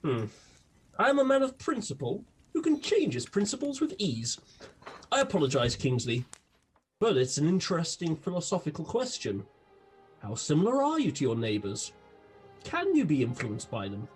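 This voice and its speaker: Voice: mid-range voice, wildly swooping pitch, normal speed, soft background music. A performer playing an eccentric, gluttonous, unhinged detective in a comic radio play, grandiloquent, with a British accent. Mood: pompous, rambling. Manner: grandiloquent, theatrical.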